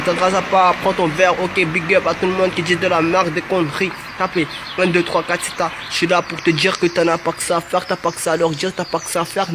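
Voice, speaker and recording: A man's voice: high voice, background noise. A man in his twenties from Marseille, with family from Mayotte, rapping an amateur freestyle in rhythmic, repetitive chanted lines full of boastful street slang. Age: 20s. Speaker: rapper.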